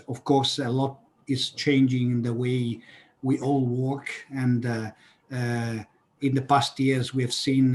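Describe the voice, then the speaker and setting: A man moderating an online panel discussion, speaking hesitantly with many fillers. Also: medium pitch.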